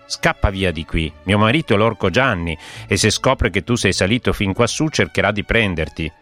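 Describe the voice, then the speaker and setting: A man's voice: lowish voice, lively intonation, normal speed, fairly bright tone, faint music behind. A man voicing a huge ogress in a fairy tale, protective and anxious, urgently warning.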